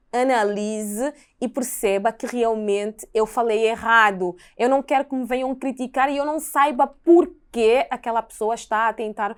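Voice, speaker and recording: A young woman with an Angolan Portuguese accent, a podcast guest explaining at length in casual conversation, in earnest, sometimes in quick bursts. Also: fairly high pitch, clear, resonant tone. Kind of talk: casual chat.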